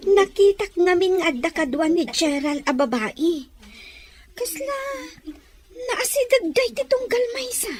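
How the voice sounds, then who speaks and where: A girlish young Ilocano Filipino woman as an actress plays her, pitched very high. She is a student and close companion in a radio drama. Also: animated, unhurried, noisy background. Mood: confiding.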